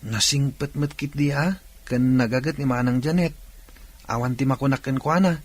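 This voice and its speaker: A man's voice: medium pitch, normal speed, natural voice. An actor playing a respectful, curious young man, the younger brother, in a radio drama. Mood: curious, teasing.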